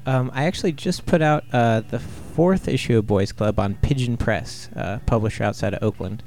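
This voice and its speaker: A man in his thirties from Ohio, answering interview questions in a laid-back, halting way with deadpan humor. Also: mid-range voice, faint music behind. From Ohio, USA. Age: 30s. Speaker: guest artist.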